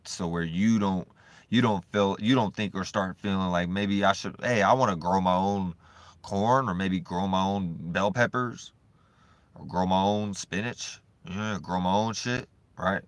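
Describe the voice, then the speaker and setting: A man vlogging in a loose, profane, rambling monologue, swinging between animated bursts and low mumbling. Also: unhurried, no echo. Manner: loose, profane and repetitive, full of "you know what I'm saying".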